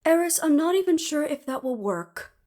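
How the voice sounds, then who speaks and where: A woman's voice: high voice, animated, moderate pace, warm tone, voice pushed up. A woman voicing a clever, unruffled imprisoned researcher in an audio roleplay, speaking precisely and with slight superiority. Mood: wry, agreeable. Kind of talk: scripted audio roleplay acting.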